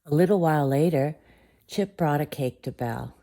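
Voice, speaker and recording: A woman's voice: sits low, normal speed, mellow. A woman reading a children's bedtime story aloud as storyteller.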